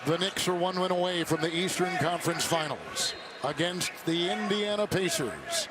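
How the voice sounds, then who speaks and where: A man's voice: high voice, lively intonation, normal speed. A man calling basketball play-by-play for a live broadcast. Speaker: play-by-play announcer. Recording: very noisy arena broadcast. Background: basketball arena noise, bouncing ball.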